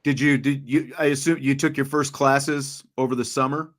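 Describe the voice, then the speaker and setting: A man's voice: medium pitch, fairly even intonation, normal speed. A man with a mid-range voice asks easygoing questions, often restarting them as he goes. His manner is conversational and friendly, with the ease of a seasoned radio announcer. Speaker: interviewer and radio play-by-play announcer. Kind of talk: conversational interview.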